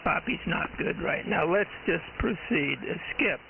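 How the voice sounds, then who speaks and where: Through a tinny, narrow AM radio signal comes a croaky man hosting an amateur net. He talks slowly and haltingly, rambling casually through procedure, with a heavy gravelly creak in his voice. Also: a little high, lively intonation. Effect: AM radio transmission. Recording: narrow, heavily static-filled AM shortwave radio.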